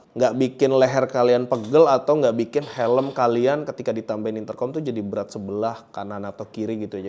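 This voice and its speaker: A man's voice: medium pitch, darkish tone. Casual Jakarta-accented man reviewing gear. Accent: Jakarta Indonesian. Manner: colloquial, repeats phrases, addresses viewers directly. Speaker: motorcycle gear reviewer and host. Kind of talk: casual product review to camera.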